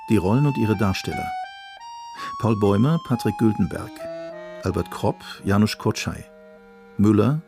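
A man's voice: lowish voice, darkish tone. A man reading formal scripted credits as a radio announcer. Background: faint instrumental music.

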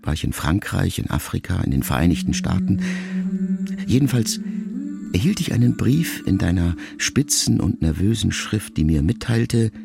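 A middle-aged actor playing a reflective grown son, a writer, who addresses his dying mother in a measured, confessional literary monologue for a radio play. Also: low voice, big pitch swings, vocal fry, heavily acted. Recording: music behind the voice. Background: music.

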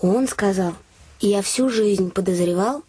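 A woman's voice: medium pitch, a little slow, dark tone, husky. A woman playing an earnest, chatty young innkeeper's daughter, confiding and artless, in a fairy-tale radio play.

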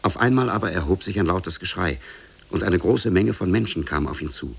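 A man narrating a fairy tale for 1950s German radio in a measured, solemn storytelling manner. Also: deep voice, animated, gravelly, breathy edge.

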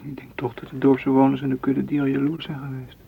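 A man's voice: mid-range voice, monotone, fast, vocal fry. An actor playing a possessive, wooing man in a radio drama, pressing and reproachful in manner. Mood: insistent. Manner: insistent, reproachful. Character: possessive, wooing suitor seeking warmth and closeness. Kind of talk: literary radio drama acting. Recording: dull, noisy radio play recording.

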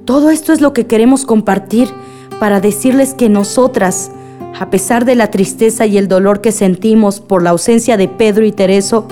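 An actress playing an earnest, grieving village woman who makes measured appeals for peace in a radio drama. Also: mid-range voice, mellow, natural voice. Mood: solemn. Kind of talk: radio drama acting, assembly speech. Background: music.

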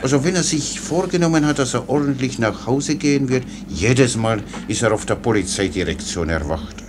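An actor playing a guileless, chatty, foolish soldier orderly in a German radio play, rambling deferentially. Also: male voice, medium pitch, normal speed, close to an everyday voice. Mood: chatty, unruffled. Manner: rambling, naively unruffled, deferential. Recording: clear background noise.

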